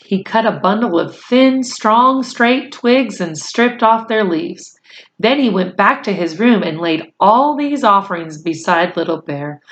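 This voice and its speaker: A woman reading a children's novel aloud in a deliberate, measured storytelling manner. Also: mid-range voice. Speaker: storybook reader.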